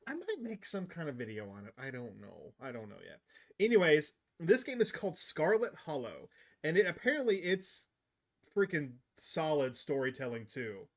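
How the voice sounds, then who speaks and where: A man's voice: fairly high pitch, very animated, moderate pace. A man hosting a gaming livestream, chatting casually and enthusiastically with his viewers. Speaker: livestream host. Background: game music.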